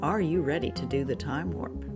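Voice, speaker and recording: A female host delivers a scripted show introduction. Her pitch is on the lower side for a woman, and guitar music plays clearly behind her. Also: normal speed.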